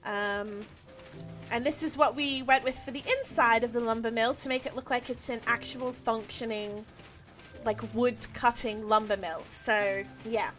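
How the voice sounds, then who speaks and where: A woman's voice: fairly high pitch, fairly even intonation, unhurried, a bit bright, soft background music. A young woman chatting casually while she streams a game, with lots of ums and easy laughs. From Australia. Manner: chatty, many ums and restarts, laughs easily. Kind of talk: casual live-stream chat while playing.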